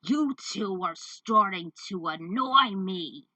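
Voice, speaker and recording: Brutish male villain, female reader: menacing, irritable, barked threats, forceful edge, off-mic. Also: mid-range voice, animated, slow, dry recording, recorded from a distance, full character voice. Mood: menacing, angry. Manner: barking threats.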